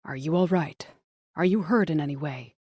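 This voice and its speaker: A woman voicing a male detective in his forties who speaks quietly and gently. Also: sits low, normal speed, slightly rough, no room sound, studio quality. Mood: gentle, grave.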